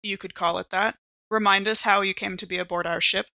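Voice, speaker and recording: A woman playing a shrewd, sceptical spaceship officer in a tabletop game, measured, direct and probing. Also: medium pitch, steady tone, vocal fry, sharp tone. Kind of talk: tabletop role-play acting.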